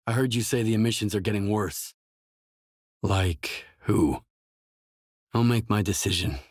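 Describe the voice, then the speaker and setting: An actor playing a gruff, guarded, weary male video game protagonist, speaking terse, measured scripted lines in a husky voice. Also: fairly low pitch, no room sound, clean studio sound. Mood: probing, serious.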